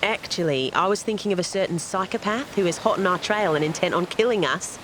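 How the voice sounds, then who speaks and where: A woman's voice: fairly low pitch, brisk, background noise, natural voice. A woman playing a cool, dry, teasing treasure hunter in an audio drama.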